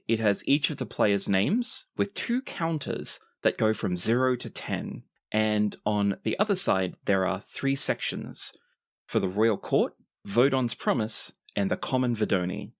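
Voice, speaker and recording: A man's voice: lowish voice, animated, measured pace, vocal fry. A man narrating a tabletop role-playing game as game master.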